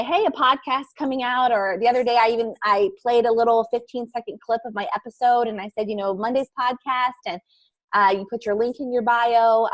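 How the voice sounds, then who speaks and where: A woman talking casually and excitedly about podcasting, laughing often and running sentences together. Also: fairly high pitch. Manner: bubbly, laughs often, fast run-on sentences. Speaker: podcaster guest. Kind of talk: casual panel chat.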